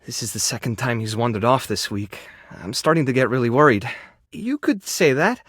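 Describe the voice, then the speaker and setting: A man playing a gentle, shy, soft-hearted animal rescuer in a video game, speaking in a hesitant, earnest, self-effacing way. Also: mid-range voice, animated, normal speed, breathy edge, no room sound, studio quality. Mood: worried. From Mexico (character). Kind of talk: video game character voice acting.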